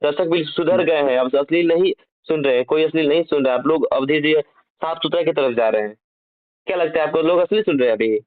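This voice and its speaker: A man's voice: fairly high pitch, fast. A man, a journalist interviewing by phone, challenging and scolding, often repeating his questions. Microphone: telephone. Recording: tinny radio-like phone-call recording.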